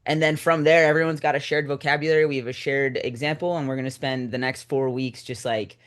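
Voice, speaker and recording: A man's voice: mid-range voice, steady tone, brisk, a bit bright, clean tone. A young man, a screenwriter and teacher, explaining his work in casual interview chat. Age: young adult. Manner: explanatory, informal.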